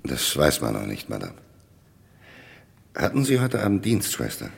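An actor playing a gruff, curt police commissioner in a vintage German radio crime drama. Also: male voice, rumbling low, huge pitch swings, moderate pace, heavily acted. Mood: probing. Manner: curt, commanding. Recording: noisy old radio drama recording.

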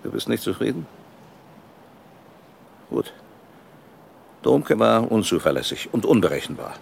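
Ruthless old boss, animated delivery. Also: male voice, on the low side, measured pace, gravelly, a little hiss or hum, heavily acted. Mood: defiant, cold. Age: older. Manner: forceful, rhetorical, self-justifying. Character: ruthless old company boss, defiant and contemptuous. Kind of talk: German radio drama acting.

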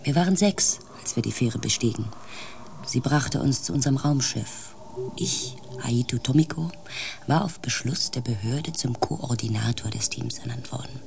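Reflective starship coordinator, creaky voice. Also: female voice, extremely low pitch, moderate pace, darkish tone, slight background noise. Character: thoughtful spaceship team coordinator, narrating the expedition. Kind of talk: radio drama first-person narration.

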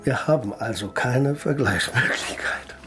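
A man's voice: mid-range voice, measured pace, natural voice. An actor as a matter-of-fact male investigator in a radio crime play. He explains soberly and precisely, with faint music underneath. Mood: sober, explaining. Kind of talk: radio drama dialogue.

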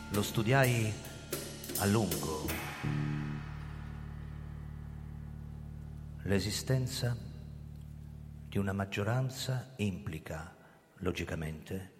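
Radio drama lead: bitter, tense man on the run, theatrical first-person narration, long reverb, music underneath. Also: low-pitched. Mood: hushed, pondering. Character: tense male fugitive recounting his flight, bitter and brooding. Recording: reverberant hall, music over the voice. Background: prominent musical instruments.

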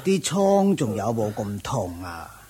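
An actor playing a solicitous, admiring religious practitioner, courteous and gravelly, in a radio drama. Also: male voice, lowish voice, big pitch swings, slow pace, dark tone, faint music behind. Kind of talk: Cantonese radio drama acting.

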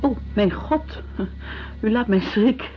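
A woman's voice: on the high side, animated, slow pace. An actress playing a troubled, guarded young woman in a radio drama, earnest in her delivery. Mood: startled, reserved. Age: young adult. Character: anxious young woman searching for her exiled brother. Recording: dull radio recording with music.

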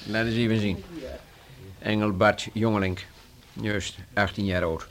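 A man's voice: lowish voice, fairly even intonation, a little slow, lightly acted. An actor playing a brusque, dutiful policeman in a Dutch radio drama, curt and commanding.

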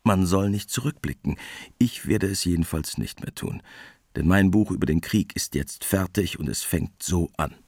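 A man playing a war veteran novelist, narrating his past in a measured, wry, understated way in a radio drama. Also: low voice, creaky, darkish tone, slightly rough, no room sound, studio quality, exaggerated voice. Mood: resigned, measured. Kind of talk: literary first-person radio drama narration.

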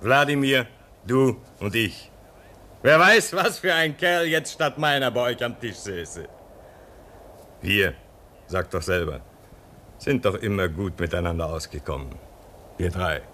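Slow-spoken, earnest station comrade. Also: male voice, fairly low pitch, animated, pushed and bright, a little hiss or hum. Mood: pleading, conciliatory. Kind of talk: German radio play acting.

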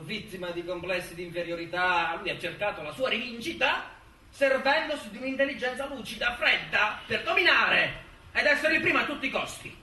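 An actor playing a pompous, scornful prosecutor, declaiming with sneering emphasis in theatrical courtroom oratory. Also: male voice, sits high, bright, forceful tone, heavily acted. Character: venomous moralizing male prosecutor, pompous and scornful. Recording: roomy, distant stage recording, faint noise.

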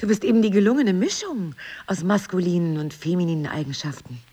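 An actress playing a poised, teasing and evasive wife, articulate and ironic, in a naturalistic relationship drama. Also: female voice, fairly low pitch, a little fast, warm tone, husky. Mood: amused, provocative. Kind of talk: two-hander relationship drama acting, naturalistic.

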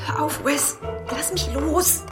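A woman's voice: high-pitched, takes it slowly, music in the background. An actress in a German radio play playing an eager, devoted woman in love, earnest and emotionally open. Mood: pleading, hurt.

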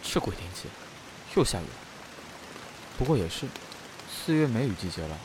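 A man's voice: fairly low pitch, big pitch swings, moderate pace, noisy background. An actor playing an aloof, sardonic young nonhuman man in a costume audio drama, with a detached way of talking. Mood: weary grumbling.

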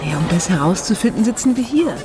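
A woman acting a cheeky, sharp-tongued friend turned amateur detective in a German radio crime drama, mocking and decisive. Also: medium pitch, big pitch swings, quick pace, over music, close to an everyday voice. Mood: mocking, breezy.

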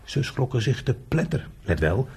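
An extremely husky, middle-pitched working man in a radio play. He is talkative and hot-tempered, with a crude, emphatic way of putting things. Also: sing-song, normal speed, a little mellow, heavily acted. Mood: wry storytelling. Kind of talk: naturalistic Dutch radio play acting.

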